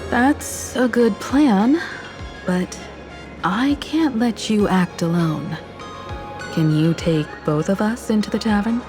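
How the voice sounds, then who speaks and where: An actress playing a composed, caring and analytical young woman in a video game, speaking in a measured, earnest, articulate way. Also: mid-range voice, close to an everyday voice. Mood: composed, resolute. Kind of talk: video game voice acting, expository dialogue. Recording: studio voice over game music.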